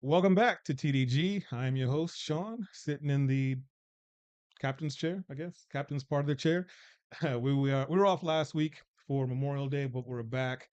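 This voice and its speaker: A man's voice: mid-range voice, normal speed, a little mellow, smooth, dull audio. Forty-something guy hosting a dating panel, teasing, chill middle voice. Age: 40s. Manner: joking, teasing, loose and rambling. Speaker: host. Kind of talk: casual panel talk show.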